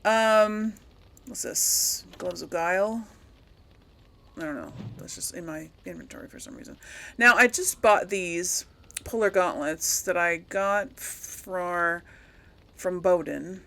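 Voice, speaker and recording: A woman's voice: fairly low pitch, slow pace, a bit bright. A woman doing casual game commentary, rambling and thinking aloud as she talks to herself. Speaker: gaming video host.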